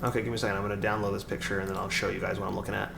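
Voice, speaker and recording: Raspy-voiced man streaming in an echoey room. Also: fairly low pitch, little pitch movement, racing. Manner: rambling, full of fillers and restarts. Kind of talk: casual live-stream chat. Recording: echoey room with background noise.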